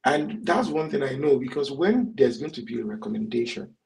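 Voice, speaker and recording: A man's voice: mid-range voice, animated, a little fast, vocal fry, darkish tone. A man hosting a career panel, affirming the speaker and adding his own follow-up remarks. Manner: affirming, frequent backchannels. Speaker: host. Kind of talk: panel moderation.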